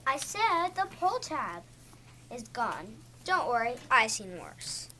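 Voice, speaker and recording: A woman's voice: fairly high pitch, sing-song, takes it slowly, sharp tone, exaggerated voice. A female reader playing a kindly, reassuring male school custodian in readers theatre. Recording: slightly noisy room.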